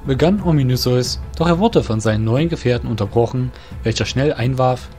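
A man's voice: mid-range voice, fairly quick, darkish tone, soft background music. A man narrating a scripted fantasy audio drama.

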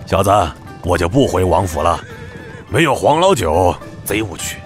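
Low-voiced, gruff old warrior. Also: male voice, very animated, moderate pace, soft background music. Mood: gruff, bored. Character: blunt, gruff old male blade warrior. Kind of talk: Chinese wuxia audio drama acting.

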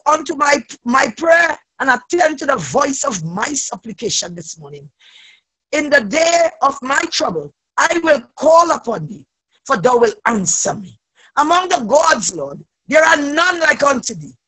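A woman leading a fervent, extemporaneous church prayer, pleading with repeated invocations and a raspy voice that swells into loud cries. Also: high voice, poor audio.

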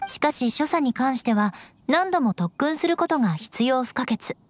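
A woman's voice: high-pitched, animated, normal speed, slight background noise. A woman playing a proud, elegant young aristocratic knight in an anime-style game, composed and instructive, strict but caring.

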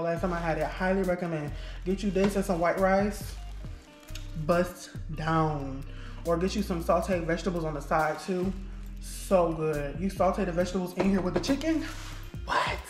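A young adult vlogger chatting casually to viewers while putting groceries away. The talk rambles and keeps turning to the viewers as y'all, picked up by a camera across a home kitchen. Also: mid-range voice. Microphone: camera set across the kitchen. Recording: echoey kitchen with loud music behind.

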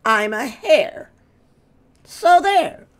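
A woman's voice: sits high, big pitch swings, extremely slow, pitched above the performer's own voice, exaggerated voice. A woman voicing an indignant, boastful hare from a children's storybook, emphatic and proudly correcting. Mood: proud, insistent.

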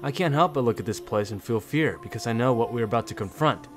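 A man playing earnest young male anime characters with expressive, dramatic delivery in a fan comic dub. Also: mid-range voice, fast talker, lightly acted. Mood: somber. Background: music.

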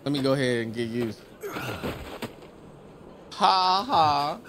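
A man's voice: a little high, animated, takes it slowly, noisy background. A young American man doing hyped, slangy let's play commentary on a headset. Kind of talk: excited gaming commentary. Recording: game music behind.

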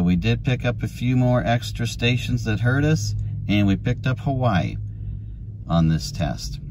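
An older man, talking in a folksy, easygoing way as he casually narrates a field demonstration. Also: medium pitch. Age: 50s or 60s. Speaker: amateur radio vlogger. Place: outdoors in an open farm field and inside a parked car.

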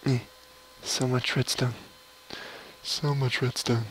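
A young man with a low voice, rambling casually through gameplay commentary at his computer. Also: flat delivery, fairly bright tone, a little hiss or hum, poor audio. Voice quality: low, gravelly voice. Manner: slow, mumbly and rambling, trailing off. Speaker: gamer streaming a mining session.

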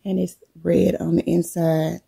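A middle-aged woman coming down with a cold, showing the contents of her bag to viewers in a relaxed, unhurried chat from her living room. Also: fairly low pitch, vocal fry, breathy edge. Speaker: vlogger. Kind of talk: casual show-and-tell chat.

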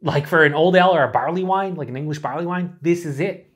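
A middle-aged man reviewing a beer alone to camera in a small room. He talks in a chatty, excited way, swears freely and sometimes drops to breathy whispers. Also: on the high side, fast talker. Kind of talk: casual solo review to camera.

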